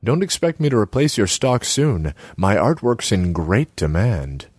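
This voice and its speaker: Man voicing haughty young female artist, high, preachy, dry close sound. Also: sing-song, measured pace, a little mellow, husky, dry recording, full character voice. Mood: haughty lecturing. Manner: scornful, lecturing, self-important.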